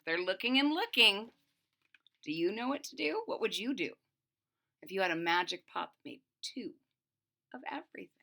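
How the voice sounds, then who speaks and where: A woman reading a picture book aloud for children, pausing to explain it simply in a deliberate, teacherly way. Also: mid-range voice, lively intonation, creaky, bright, forceful tone.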